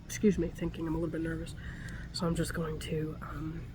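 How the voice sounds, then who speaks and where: A woman doing a soft-spoken, often whispered ASMR roleplay, murmuring hesitantly step by step as she goes. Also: sits low, completely flat delivery, fairly quick, background noise. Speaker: ASMR performer playing a robot repairer.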